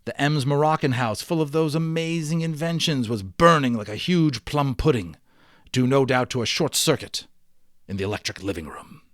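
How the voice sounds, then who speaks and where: A man narrating a children's novel in a dramatic, emphatic read-aloud style, sometimes dropping softer to explain things to listeners. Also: medium pitch, dry recording, clean studio sound. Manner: expressive, emphatic, with hushed drops.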